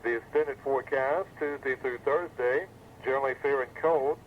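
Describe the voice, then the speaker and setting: A man's voice: medium pitch, normal speed. A male announcer reading a scripted weather bulletin over a 1980s weather radio broadcast. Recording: muffled phone-like 1980s weather radio, faint noise.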